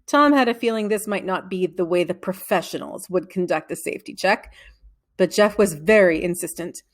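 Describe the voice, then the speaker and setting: A woman narrating a children's novel aloud in an expressive storytelling way. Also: on the low side, moderate pace. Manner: expressive storytelling with acted dialogue.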